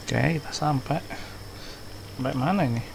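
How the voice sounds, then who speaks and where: A man's voice: mid-range voice, a little fast, creaky, heavy background noise. A young man with an Indonesian accent giving casual gameplay commentary. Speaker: gaming streamer. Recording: loud game music behind the voice.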